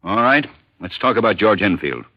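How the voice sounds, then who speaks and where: Stern, low-voiced American police interrogator. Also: male voice, animated, moderate pace, no room sound. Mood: threatening, insistent. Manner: hard, insistent, scornful. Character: stern, needling male police chief interrogator. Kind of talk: 1950s radio crime drama acting. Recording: muffled vintage radio recording.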